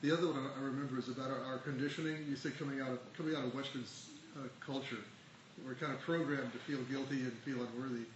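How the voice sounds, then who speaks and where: Man group member, halting storyteller, echoey room with noise. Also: mid-range voice, fairly even intonation, lo-fi sound. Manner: halting, restarts often. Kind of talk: anecdote in group discussion. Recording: roomy hall with clear background noise.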